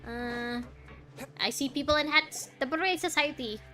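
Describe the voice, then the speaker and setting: A woman's voice: high voice, moderate pace, pushed and bright. A woman streaming a video game, giving casual, reactive commentary as she muses informally on what she plays. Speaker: gaming streamer. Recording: game music behind the voice.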